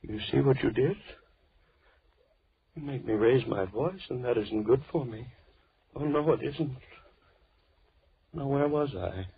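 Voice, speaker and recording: A husky, mock-gentle radio-drama madman. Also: mid-range voice, animated, heavily acted. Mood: controlled irritation. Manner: theatrical, mock-polite, slow musing monologue. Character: soft-spoken deranged male killer, lonely, mock-gentle, menacing. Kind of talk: 1940s radio horror acting. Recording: muffled, tinny old radio recording.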